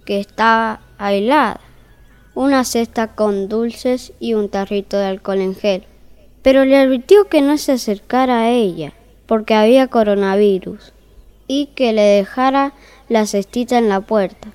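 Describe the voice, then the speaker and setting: A woman's voice: on the high side, a little slow, a little mellow, smooth, lightly acted. A woman playing a mild, easily flustered fairy-tale narrator in a comic audio story, hesitant and accommodating. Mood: storytelling.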